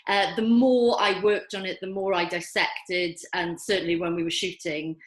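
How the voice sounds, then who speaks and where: An older woman with a Welsh accent speaks in a lowish voice, pausing often with ums as she talks with enthusiasm. Her voice bounces around an echoey room. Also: steady tone. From Wales. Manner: hesitant, many ums, warm enthusiastic. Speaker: filmmaker guest. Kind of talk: online festival Q&A.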